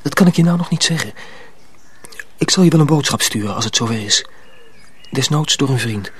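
A man's voice: mid-range voice, animated, a little fast, a bit bright, slight background noise, close to an everyday voice. An actor playing an earnest young man in danger, speaking intimately in a radio drama. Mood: earnest, urgent.

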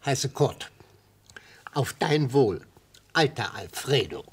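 An actor playing a genial retired old prosecutor in a radio play, grandiloquent and oratorical, with a slightly husky voice. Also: male voice, mid-range voice, sing-song, slow pace, exaggerated voice. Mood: sentimental, genial. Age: older. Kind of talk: German radio play acting.

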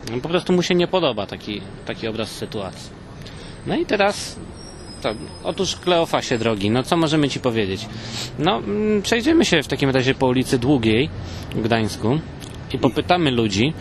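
Male podcast co-host, lively intonation, emphatic mock-serious comedy, noticeable ambient noise. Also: medium pitch, fairly quick. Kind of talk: mock-serious comic banter.

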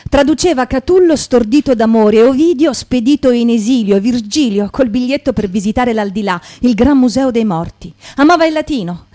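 Mellow, higher-pitched woman reading dramatically. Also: no room sound. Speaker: stage performer. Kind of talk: dramatic literary reading, radio theatre.

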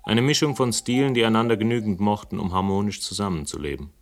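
An actor performs a world-weary male investigator for radio drama. His voice sits fairly low and his delivery is sardonic and philosophical, with a flirtatious, teasing edge. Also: fast, slight background noise, close to an everyday voice. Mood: reflective, literary. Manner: sardonic, literary, dryly teasing. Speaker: lead actor and first-person narrator. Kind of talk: German radio play acting and narration.

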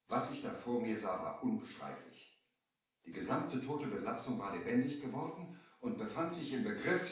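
A very echoey, slightly distant 1970s radio play recording of a man acting a shipwrecked master telling his tale. He has a medium-pitched voice and speaks with solemn, theatrical diction, as if recounting a dreadful sea adventure to listeners of an old story record. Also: natural voice. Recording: roomy, echoing 1970 vinyl radio play, distant.

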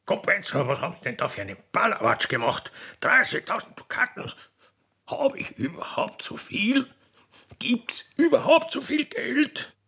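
A man's voice: sits high, huge pitch swings, a little slow, sharp tone, voice pushed up, full character voice. A man playing a genial, befuddled old emperor with an Austrian accent, blustering and colloquial, in a radio play. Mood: aghast. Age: older.

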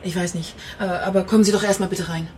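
An actress playing an anxious, evasive middle-aged wife, speaking hesitantly in a crime radio drama. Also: female voice, fairly low pitch, fairly even intonation, darkish tone, slight background noise, lightly acted. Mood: worried. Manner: hesitant, halting.

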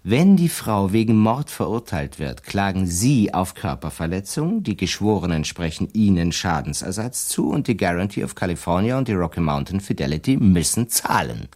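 An actor playing a shrewd, self-assured defence lawyer in a radio play, explaining his schemes deliberately and slyly. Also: sits low, very animated, darkish tone, exaggerated voice. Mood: triumphant, scheming. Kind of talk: German radio drama dialogue.

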